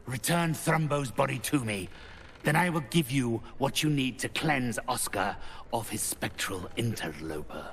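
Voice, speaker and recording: An actor playing a haughty, cold, mercenary necromancer in a fantasy game, speaking slowly with theatrical condescension. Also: male voice, mid-range voice, animated, vocal fry, a bit bright, slight background noise. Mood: cold, businesslike. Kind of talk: cinematic fantasy game dialogue.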